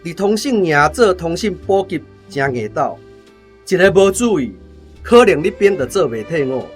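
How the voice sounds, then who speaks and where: Cocky lazy taiwanese army old-timer, highish voice all over the place, music behind. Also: male voice, very animated, moderate pace. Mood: offhand, cocky. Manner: cocky, offhand. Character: brash, lazy, boastful veteran soldier, a smooth schemer. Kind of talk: radio drama acting.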